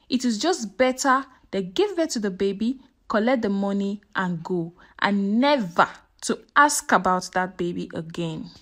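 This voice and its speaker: A woman narrating a scripted folktale in a dramatic storytelling style. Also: mid-range voice.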